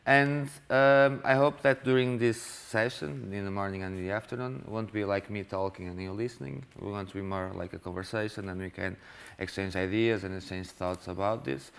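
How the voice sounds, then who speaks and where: A man in his thirties leading a masterclass in a classroom, talking haltingly with frequent restarts. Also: on the low side, vocal fry, fairly bright tone, clean tone. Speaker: workshop leader. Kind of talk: masterclass talk. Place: classroom with projector.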